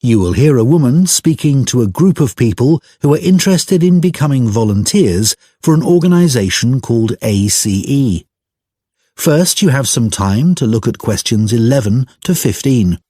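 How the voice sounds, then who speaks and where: A man announces exam instructions from a script. His voice has a husky grain and moves noticeably up and down in pitch. The sound is close and dry. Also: lively intonation, normal speed, warm tone, no echo.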